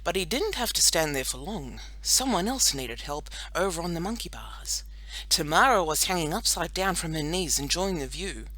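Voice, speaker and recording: A woman narrating a children's audiobook in an expressive, scripted storytelling style. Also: lowish voice, normal speed, no room sound, low-quality recording.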